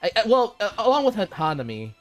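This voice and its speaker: A man's voice: high-pitched, sing-song, fast. A young man co-hosting an anime ranking in casual banter, blunt and slangy, often stammering into his sentences. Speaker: co-host of an anime ranking show. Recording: music playing behind the voice.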